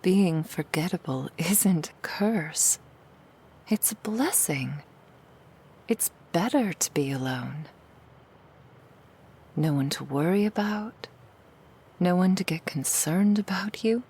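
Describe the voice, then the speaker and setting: A woman playing a seductive female demon in an ASMR roleplay, coaxing the listener in a breathy whisper with soothing, insidious persuasion. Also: fairly low pitch, animated, slow pace, pauses often, mellow, heavily acted. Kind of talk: ASMR roleplay, intimate monologue.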